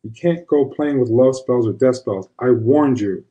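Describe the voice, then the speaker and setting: A man's voice: medium pitch, a little slow, dark tone, muffled recording, close to an everyday voice. A man voicing a no-nonsense older witch grandmother, folksy and commanding, in an audio horror story. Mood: scolding.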